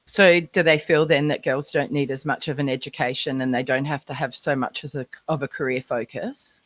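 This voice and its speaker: A woman's voice: very deep voice, steady tone, a little fast. A woman hosting a podcast interview, asking eager, encouraging questions in a casual way. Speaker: podcast host.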